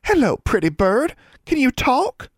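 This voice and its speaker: Man playing teenage girl, bubbly, affectionate, teasing, effusive, raised pitch, very lively intonation, audiobook character. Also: a little slow, breathy edge, no echo, clean studio sound, full character voice. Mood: cooing. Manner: effusive, cooing, sing-song.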